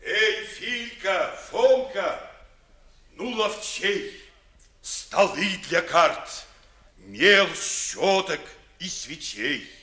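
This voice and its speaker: Echoey old radio play servant, sharp voice barking orders, drawn out and slow. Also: male voice, sits high, lively intonation, heavily acted. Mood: bossy, busy. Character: bustling household manservant ordering other servants about. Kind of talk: 1950s classical radio theatre acting.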